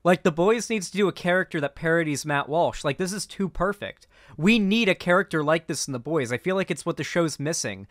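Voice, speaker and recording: A man's voice: fairly high pitch, expressive, a little fast, few pauses, fairly bright tone, no room sound. A young man giving casual, opinionated reaction commentary as a streamer, speaking into a close mic indoors. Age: young adult.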